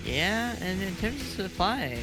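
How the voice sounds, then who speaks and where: Deep-voiced woman casting a game. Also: big pitch swings, unhurried, poor audio. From Southeast Asia. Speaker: guest co-caster. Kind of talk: live game commentary. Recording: commentary over game music.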